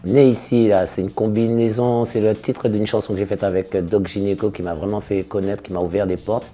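A man in his fifties, a singer and DJ, answering interview questions in a relaxed, casual way, full of slangy shout-outs. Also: lowish voice, fairly quick, faint music behind. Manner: relaxed, laid-back, with slangy big-ups. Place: studio in front of blue backdrop.

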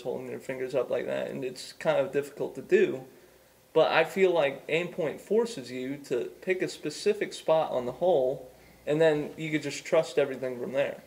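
A man's voice: mid-range voice, a little mellow. A man in his thirties co-hosting a golf show, talking casually in a small studio with many stammering restarts. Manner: frequent stammering restarts and agreeing fillers. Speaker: golf instructor and co-host. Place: small studio set with a backdrop.

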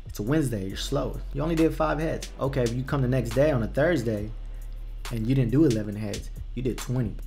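A young man from Texas sits at a desk mic in a small room, walking "you guys" through haircut prices and yearly income in a relaxed, conversational tutorial. He talks the way he would to a friend and often stumbles over a word twice while he thinks. Faint music plays underneath. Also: mid-range voice, brisk, creaky. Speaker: barber teaching business. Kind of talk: casual screen-share tutorial. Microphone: desk microphone at a computer, webcam in corner.

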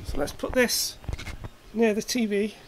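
A man's voice: high-pitched, animated, a little slow, a little hiss or hum, poor audio. A man giving a casual, hands-on demonstration as an electronics hobbyist in his workshop. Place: cluttered indoor electronics workshop.

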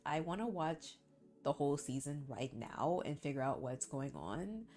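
A woman's voice: deep voice, very animated, normal speed, a little mellow. A young woman chatting casually to her viewers, sounding congested from the flu. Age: young adult. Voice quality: congested, stuffy-nosed. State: sick with flu. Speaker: reaction channel host. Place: small bedroom, indoors.

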